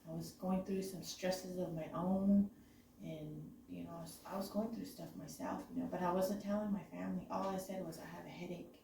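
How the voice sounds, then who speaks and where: A woman from the Navajo Nation with a raspy voice from illness, talking reflectively and haltingly with many "you know"s. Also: on the low side, steady tone, warm tone. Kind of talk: personal reflective talk. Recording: echoey room, distant mic, faint noise.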